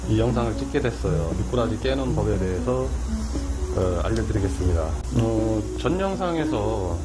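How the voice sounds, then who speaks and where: A man hosting a fishing channel, calmly walking through how to hook bait with many repetitions and fillers. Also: on the low side, normal speed, poor audio. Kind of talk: casual how-to demonstration. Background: clear background music.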